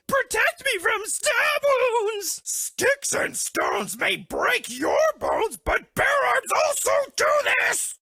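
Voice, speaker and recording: A woman's voice: extremely high pitch, vocal fry, sharp tone, no echo, clean studio sound, exaggerated voice. A woman voicing a sweet, dramatic, lovestruck female bear in a cartoon dating game, speaking broken English in a gushing way. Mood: frightened, dramatic, then playful, gushing.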